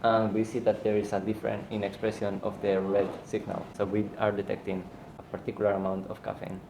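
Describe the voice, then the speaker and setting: Male student, low monotone, echoey noisy lab. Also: brisk, vocal fry. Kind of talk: lab demonstration.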